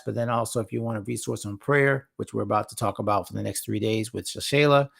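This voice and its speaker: A man hosting a casual livestream for faith-focused creatives, speaking earnestly and repeating words for emphasis, his voice slightly hoarse. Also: medium pitch. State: slightly hoarse after a long session. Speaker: host and founder of a faith-focused creative platform. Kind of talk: casual livestream talk to viewers.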